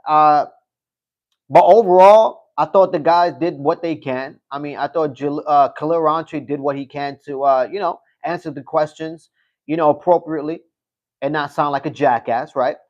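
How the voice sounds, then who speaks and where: A man hosting a live stream, chatting loosely as he rambles, repeats himself and swears now and then. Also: a little high. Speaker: livestream host. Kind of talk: casual live-stream commentary.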